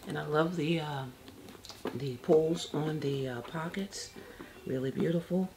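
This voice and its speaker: Woman, 50s, soft-spoken, low voice, slow halting delivery, hissy background. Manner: unhurried, halting, many fillers. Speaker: handbag vlogger. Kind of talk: casual product demo. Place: bedroom, seated on a bed. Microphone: external camera mic.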